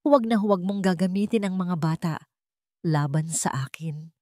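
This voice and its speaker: A cruel, wealthy older matriarch, acted by a woman narrator in a dramatic audio story. She speaks in a lowish voice, commanding and scornful, recorded very dry and close. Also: normal speed, warm tone, dry recording, close to an everyday voice. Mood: angry, commanding. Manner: commanding, contemptuous. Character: haughty, cruel rich older matriarch, scornful mother-in-law.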